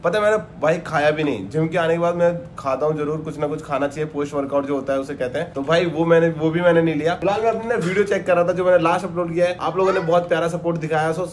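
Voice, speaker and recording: Young male vlogger, quick casual delivery, fairly high voice, phone recording indoors. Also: steady tone, a little hiss or hum. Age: 20s. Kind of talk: casual vlog chat. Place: home rooms and a gym, indoors. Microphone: phone at arm's length.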